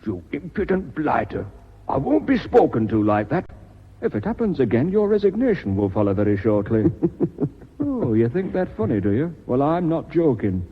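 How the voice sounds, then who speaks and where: An actor playing a conceited, blustering, smooth-talking British sales manager in a radio play. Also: male voice, mid-range voice, sing-song, normal speed. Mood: indignant, bullying. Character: pushy, conceited, bullying male sales manager. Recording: muffled lo-fi radio drama recording.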